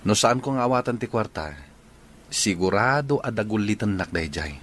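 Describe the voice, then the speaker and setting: A man's voice: fairly low pitch, lively intonation, moderate pace, fairly bright tone, slight background noise. An actor with a Filipino Ilocano accent playing an earnest young engaged man, wary of his sister, in a radio drama. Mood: resentful, subdued.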